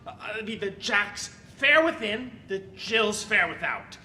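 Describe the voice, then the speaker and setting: A man playing a garrulous, put-upon comic servant in a Shakespearean audio play, speaking theatrically with halting hesitations. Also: high voice, animated, pushed and bright, slight background noise, full character voice. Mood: busy, fussing. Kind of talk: Shakespearean stage-style audio play acting.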